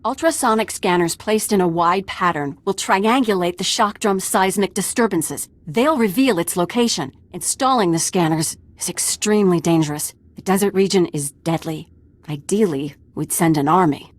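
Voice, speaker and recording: A woman's voice: lowish voice, normal speed, dry recording, natural voice. An actress playing an earnest, capable female technical expert in a video game, with a serious briefing manner.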